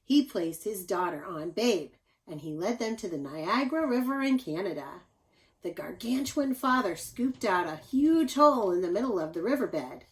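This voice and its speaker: A woman teacher reading a children's story aloud, explaining things simply and speaking directly to young listeners with expressive emphasis. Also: mid-range voice, moderate pace, low-quality recording.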